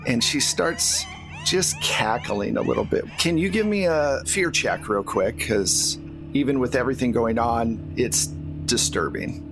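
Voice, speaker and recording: A man's voice: mid-range voice, animated, unhurried, music in the background. A man acting as game master, narrating scenes and explaining rules to the players as he checks in with them. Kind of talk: narrating and refereeing a tabletop game. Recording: clearly noisy background.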